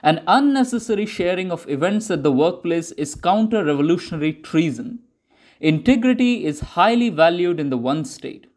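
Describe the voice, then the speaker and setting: A man reading scripted narration for a newsreel-style documentary in a measured, declarative propaganda manner. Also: high voice, expressive, a little mellow.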